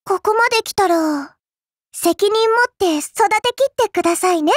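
Very high, mischievous little girl villainess. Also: animated, a little slow, no room sound, studio quality. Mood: polite, teasing. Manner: coy, polite, playfully menacing. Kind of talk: Japanese mobile game character voice lines.

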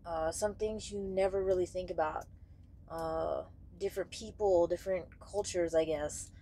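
A young woman talking casually and candidly to camera in a halting way, searching for words as she goes. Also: on the low side, fairly even intonation, warm tone. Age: young adult. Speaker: truck driver vlogger. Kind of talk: casual vlog talk to camera. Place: small padded truck sleeper bunk, indoors.

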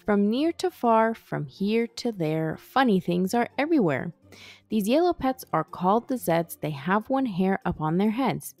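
A woman reading a rhyming children's picture book aloud in a playful, animated storytelling manner. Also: medium pitch, a little slow, no room sound. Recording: music behind the voice. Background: background music.

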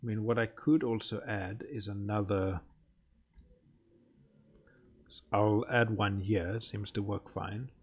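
A man's voice: on the low side, fairly even intonation, takes it slowly, long pauses, breathy edge. A man giving casual let's play commentary as a gaming host, muttering and thinking aloud.

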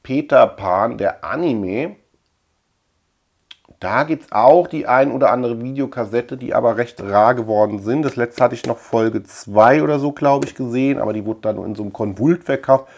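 A middle-aged man from Germany with a mid-pitched voice presents a collection in a casual show-and-tell. He talks informally and loosely, circling back and repeating words as he rambles along. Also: normal speed, darkish tone. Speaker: video collector and channel host. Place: indoor room lined with shelves of tapes.

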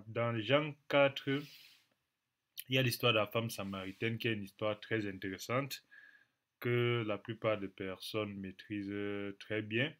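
A man in his thirties with a cold gives a Bible teaching to a webcam from a small room at home. He speaks unhurriedly and a little low, pausing often and repeating phrases for emphasis. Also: a bit bright. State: has a cold, clears throat. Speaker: preacher.

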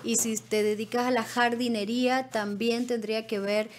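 A woman's voice: fairly high pitch, level tone, normal speed. A middle-aged woman speaking conversationally as an immigration consultant, explaining things directly to viewers by name. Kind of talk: conversational advice on a live show. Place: small indoor studio. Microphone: studio microphone on desk stand, close.

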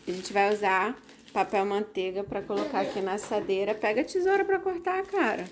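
A woman's voice: mid-range voice, fairly quick, a little hiss or hum. A mother in her thirties with a Brazilian Portuguese accent, patiently coaching her kids step by step through a recipe in a home kitchen. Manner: patient, coaxing, explains step by step, teasing. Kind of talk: casual family cooking video.

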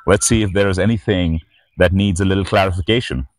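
A middle-aged man reading and commenting on scripture as a spiritual teacher, in a measured, reverent manner. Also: low-pitched, no room sound. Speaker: spiritual teacher and channel host. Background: background music.

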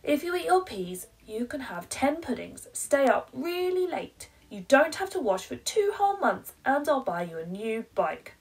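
A woman's voice: high-pitched, big pitch swings, moderate pace, hardly any pauses, far from the mic, voice pushed up. A woman voicing an exasperated, pleading mum from a children's picture book, piling up promises emphatically to bargain with her child. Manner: breathless piled-up promises, emphatic.

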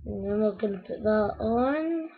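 Croaky, slow-talking woman building a toy. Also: medium pitch, expressive. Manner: rambling, talks to viewers while working with hands. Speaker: video maker building a toy. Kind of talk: casual step-by-step build talk. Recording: dull lo-fi home recording.

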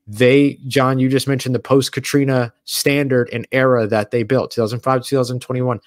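A man's voice: medium pitch, little pitch movement, normal speed, no room sound, clean studio sound. A man co-hosting a sports podcast, explaining his points earnestly and step by step. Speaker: podcast co-host. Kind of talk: sports analysis chat. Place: hotel or studio room away from home.

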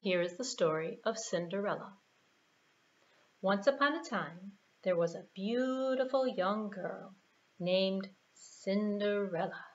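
A woman's voice: medium pitch, lo-fi sound. A middle-aged American woman narrating a puppet show for children, with playful asides. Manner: unhurried, animated, playful asides. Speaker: library puppeteer and storyteller. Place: small indoor room.

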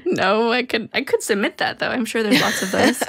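A woman's voice: fairly high pitch, normal speed, vocal fry, fairly bright tone, husky, no echo, clean studio sound. A woman co-hosting a podcast, joking and self-mocking in casual chat. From Pacific Northwest. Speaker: podcast co-host.

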